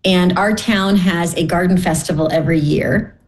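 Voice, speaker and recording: A middle-aged woman from Canada telling personal stories as an interview guest, candid and gently self-deprecating. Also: on the low side, normal speed. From Winnipeg, Canada. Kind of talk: personal storytelling in conversation.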